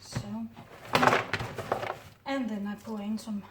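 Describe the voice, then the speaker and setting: Slow, hesitant Danish-accented woman. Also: medium pitch, fairly even intonation. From Denmark. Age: middle-aged. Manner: hesitant, many "uh" fillers, unhurried. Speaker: orchid grower. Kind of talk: casual hands-on narration. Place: home kitchen counter, indoors. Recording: roomy distant camera audio, faint noise.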